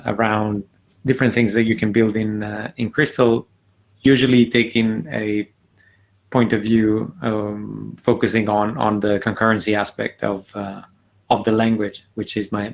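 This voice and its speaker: A man introduces himself on a video call in a gravelly, flat voice. He is halting and fills his pauses with "uh" and "um". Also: on the low side. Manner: halting, many "uh" and "um" fillers. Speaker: conference organizer and video creator. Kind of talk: casual self-introduction. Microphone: computer mic on a video call.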